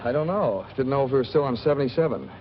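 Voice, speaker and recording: An actor playing a terse stranded traveller in a 1940s film noir. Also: male voice, medium pitch, lively intonation, fast, natural voice. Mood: reserved. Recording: muffled old film soundtrack with hiss.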